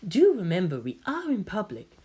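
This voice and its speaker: A woman voicing a coy, androgynous hybrid youth with genteel, gently teasing politeness and quiet menace. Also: medium pitch, huge pitch swings, normal speed, husky. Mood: polite, calculating.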